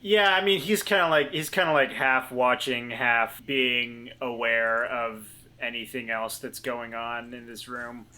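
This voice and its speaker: A man's voice: mid-range voice, fairly even intonation, a little slow, few pauses, bright, forceful tone. A man playing a tabletop role-playing game, talking casually and hesitantly as he thinks aloud.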